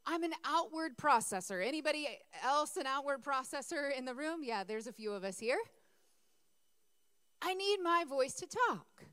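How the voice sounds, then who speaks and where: A woman preaching with a hoarse, worn voice that sits high and swings widely in pitch. She talks to her congregation in a chatty way and asks them to respond. The sound is close and dry. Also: animated, moderate pace, pushed and bright, no room sound. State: losing her voice. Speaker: pastor. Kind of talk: sermon.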